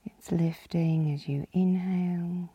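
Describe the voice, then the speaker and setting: A woman leading a guided yoga nidra relaxation as the guide, calm and soothing, with a soft, breathy, husky voice. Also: fairly low pitch, slow, dry recording, muffled recording. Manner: calm, soothing, gently instructing.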